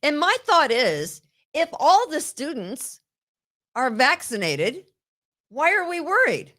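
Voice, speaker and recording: A woman's voice: high voice, very animated, a bit bright. Indignant woman hosting a talk show. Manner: indignant, rapid, repeats herself. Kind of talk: opinionated political chat. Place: New York.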